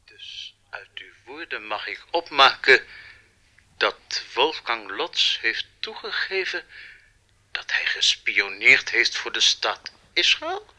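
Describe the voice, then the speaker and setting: An actor playing an excitable, commanding police commissioner in a radio drama, dramatic and authoritative. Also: male voice, mid-range voice, takes it slowly, heavily acted. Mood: stunned.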